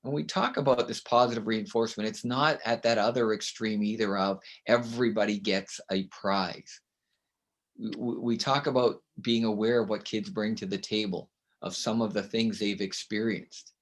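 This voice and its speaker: A middle-aged man from Canada with a loud voice, speaking as a guest in an interview. He is emphatic and tells stories, and he often ends his points with a quick "right?". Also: on the low side, steady tone. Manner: emphatic, storytelling, with frequent "right?" tags. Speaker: guest author and consultant. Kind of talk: conversational interview.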